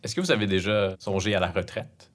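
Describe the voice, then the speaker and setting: A man with a very low voice conducts an interview. He asks long questions in a measured way, pausing and hesitating often.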